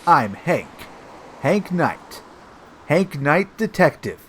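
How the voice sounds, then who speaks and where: A man's voice: on the high side, sing-song, takes it slowly. Man playing a bumbling, cocky noir detective, faint noise. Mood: boastful. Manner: self-satisfied, old-fashioned noir slang, stammers when flustered. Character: bumbling, cocky hardboiled police detective, eager with puns. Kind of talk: comic noir audio play acting.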